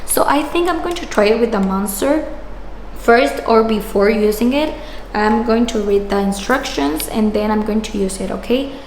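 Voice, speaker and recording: A woman's voice: on the high side, moderate pace, darkish tone. A woman in her twenties doing a casual unboxing review, phrasing things hesitantly as she goes. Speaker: product reviewer. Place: small indoor room with shelves. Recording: echoey room with background noise.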